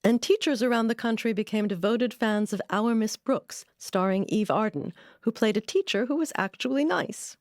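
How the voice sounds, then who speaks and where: A woman's voice: a little high, moderate pace, few pauses, darkish tone, dry recording, studio quality. A woman delivering scripted documentary narration.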